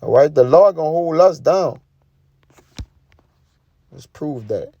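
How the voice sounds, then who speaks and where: A man's voice: fairly high pitch, lively intonation, slow, warm tone, no echo, muffled recording. A man giving an informal sermon, reading scripture and commenting in an emphatic, colloquial way with frequent tag questions. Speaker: preacher.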